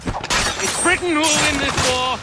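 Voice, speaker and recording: A sneering, high-voiced turncoat. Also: male voice, measured pace, over loud music. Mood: taunting. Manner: sneering, taunting. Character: scornful turncoat man leading an ambush. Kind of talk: video game cutscene acting.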